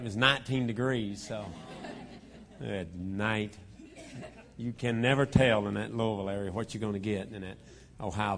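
Guest preacher, man, a little hoarse, folksy and joking, lapel mic in a church. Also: on the low side, fairly bright tone. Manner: folksy, self-deprecating, chuckling asides. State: hoarse from a cold. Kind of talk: joking sermon opening. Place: church auditorium.

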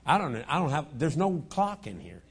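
A man opening a sermon as a guest preacher, slightly hoarse from a cold, joking in a folksy, self-deprecating way into a lapel mic in a church auditorium. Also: fairly high pitch, big pitch swings, normal speed.

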